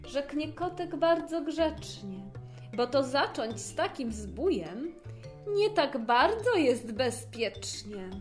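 A woman narrating a rhymed fairy tale in an expressive, theatrical storytelling manner. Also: high-pitched, slow, faint music behind.

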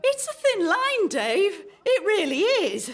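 A woman's voice: extremely high pitch, sing-song, slow pace, exaggerated voice. An actress in a radio play playing a jaded, anxious single woman in her thirties, sarcastic, dramatic and emphatic. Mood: frank, overwrought. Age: 30s. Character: jaded, anxious 35-year-old single woman unravelling at speed dating.

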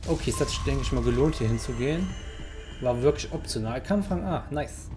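A slightly hoarse man casually commenting as he plays a video game. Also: mid-range voice, brisk, over music. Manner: relaxed, thinking aloud. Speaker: let's play host.

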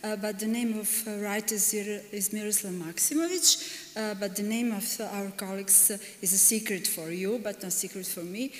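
A middle-aged woman from Serbia, a librarian, telling a short story at a podium in halting English with many hesitations. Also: mid-range voice, a little hiss or hum. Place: large conference hall stage. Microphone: podium microphone. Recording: echoey hall.

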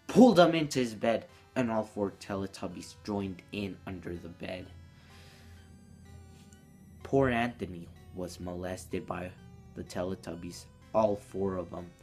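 A man's voice: low voice, a little slow, pauses often, a little mellow, faint music behind. A teenage young man telling a story casually to camera in a small room indoors, as a vlogger.

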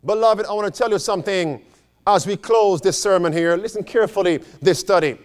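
A man's voice: high voice, brisk, clean tone. A man preaching a sermon as a pastor, repeating phrases for emphasis and putting questions to his listeners. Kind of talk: sermon with scripture and quotations read aloud.

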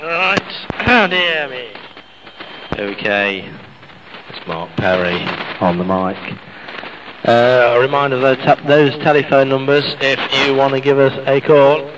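A man hosts a casual live pirate radio show from outdoors. He talks in a halting, improvised way at an ordinary pitch. The sound is distant and buried in clear shortwave noise. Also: dull audio. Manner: hesitant, off-the-cuff.

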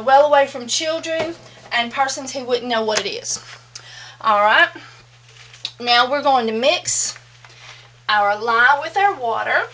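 A woman's voice: a little high, fairly bright tone. A middle-aged woman with a Southern US accent, chatty and folksy, demonstrating soapmaking at home and calling her viewers "y'all.". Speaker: soapmaking instructor. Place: home soap room, indoors.

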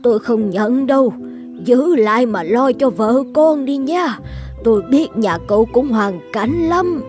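A woman voicing a kind, selfless elderly village midwife and grandmother in an animated folktale, speaking gently and earnestly. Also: fairly high pitch, a little slow, soft background music. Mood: kindly.

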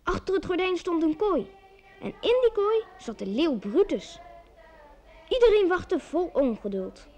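An actress playing the narrator of a village tale in a radio play. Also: female voice, extremely high pitch, big pitch swings, unhurried, a little mellow, exaggerated voice. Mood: suspenseful, lively.

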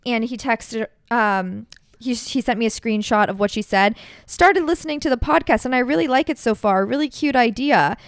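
A woman's voice: a little high, normal speed, smooth, dry recording, clean studio sound. A young woman hosting a podcast in casual chat, upbeat and asking questions. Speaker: podcast host.

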